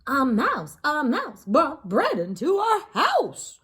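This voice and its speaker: Woman acting out shrill, indignant alarmed storybook villager. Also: wildly swooping pitch, slow, a bit bright, heavily acted. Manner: shrieking, indignant exclamations. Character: alarmed, scolding villagers crying out about a mouse. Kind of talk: storybook character voices.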